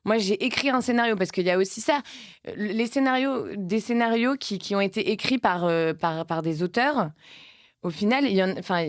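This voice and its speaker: A woman's voice: medium pitch, no room sound. A woman in her late thirties, an actress and producer, talking casually in an interview, rambling with many restarts. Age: late 30s.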